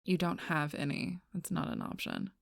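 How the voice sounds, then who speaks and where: A woman playing a gruff, stubborn, protective female alpha werewolf in an intense audio roleplay, blunt and often hushed, with a husky voice. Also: low-pitched, wildly swooping pitch, moderate pace, dry recording, studio quality, full character voice. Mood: hushed, grim. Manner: intense, blunt, swearing, often dropping to whispers.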